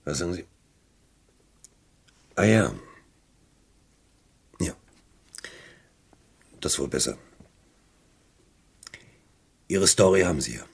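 A man's voice: deep voice, lively intonation, takes it slowly, vocal fry, long pauses, breathy edge, exaggerated voice. An actor in a 1970s radio crime play voicing an incredulous, probing police inspector who echoes the answers he gets. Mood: subdued. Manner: questioning, echoes answers, swings from muttering to exclaiming.

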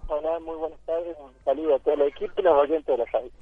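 A man's voice: fairly high pitch, steady tone, fast talker. A man with an Argentine accent giving formal explanations as a councillor in a radio phone interview. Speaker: city councillor. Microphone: telephone. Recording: muffled, narrow telephone line.

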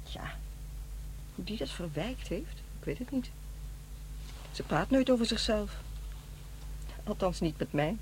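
Woman acting middle-aged divorced mother, blunt, dry, defensive, medium pitch, radio drama, noticeable background noise. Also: animated, a little slow, long pauses, close to an everyday voice. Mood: matter-of-fact. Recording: noisy, humming radio play recording.

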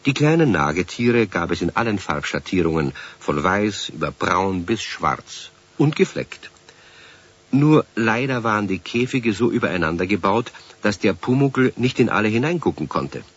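A man narrating a scripted children's story for radio as its storyteller, in a husky voice. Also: low-pitched, lively intonation, normal speed. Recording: dull vintage radio recording.

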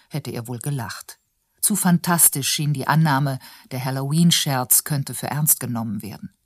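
A woman's voice: sits low, a little mellow, dry recording, clean studio sound. A woman reading scripted narration for a radio documentary.

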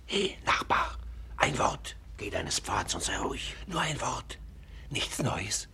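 A man's voice: lowish voice, creaky, pushed and bright, full character voice. An actor playing a furtive, worried townsman who talks cautiously, in the style of 1940s German radio drama. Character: wary, furtive male townsman, worried and sceptical.

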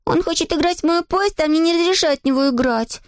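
A woman voicing a stubborn, furious little girl in a storybook, shouting indignantly. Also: extremely high pitch, quick pace, no room sound, studio quality, pitched above the performer's own voice.

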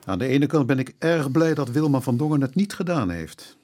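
An actor playing a cautious, weary police inspector with measured authority in a Dutch radio drama. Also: male voice, mid-range voice, expressive, mellow, soft background music, lightly acted. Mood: weary, pondering.

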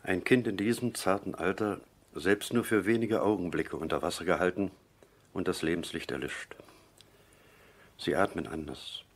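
An actor playing a resigned country surgeon in a radio play, grave and matter-of-fact. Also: male voice, low voice, steady tone, normal speed. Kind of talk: German radio play acting.

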